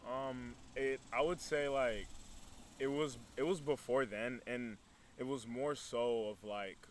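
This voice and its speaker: A man's voice: mid-range voice, fairly even intonation, takes it slowly. A man, chatting casually and a bit hesitantly as an interview guest. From Burundian background, grew up in Texas. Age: early 20s. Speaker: interview guest, student entrepreneur. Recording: clear background noise.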